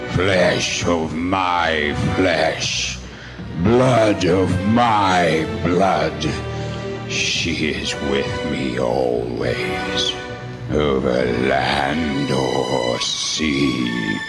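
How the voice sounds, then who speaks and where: An actor playing an ancient vampire count, a gloating villain who declaims slowly and commandingly in a radio drama. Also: male voice, extremely low pitch, heavily acted. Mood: gloating, menacing. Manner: slow, commanding, sinister declaiming. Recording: radio play with loud music bed.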